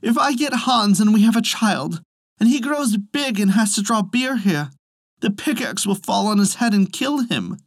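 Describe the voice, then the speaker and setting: A reader voicing a weepy, foolish young woman who wails her fears in a high, lamenting voice. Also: lively intonation, moderate pace, no echo, clean studio sound. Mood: tearful.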